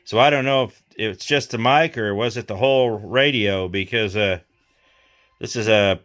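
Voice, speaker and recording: A man's voice: fairly low pitch, moderate pace, a bit bright. Casual man thinking aloud while he works. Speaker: host of a radio repair vlog. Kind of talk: casual hands-on demonstration, talking while working.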